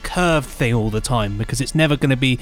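A man's voice: mid-range voice, brisk, faint music behind. A man co-hosting a podcast, joking around in casual chat and reading listener emails aloud. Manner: playful, rambling, giggly. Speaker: podcast co-host.

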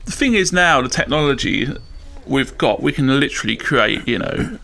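A man's voice: mid-range voice, very animated, normal speed, vocal fry, sharp tone. A man chatting casually over a video call about his work as a composer, modest and rambling, laughing often. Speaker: composer and sound designer. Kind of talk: casual chat.

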